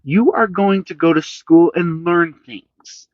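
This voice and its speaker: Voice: medium pitch, slow, dry recording, dull audio, pitched above the performer's own voice, lightly acted. A storybook reader voicing a strict mother who talks firmly and scolds her son, in a slightly raised voice. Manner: firm and stern. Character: firm, strict mother of two.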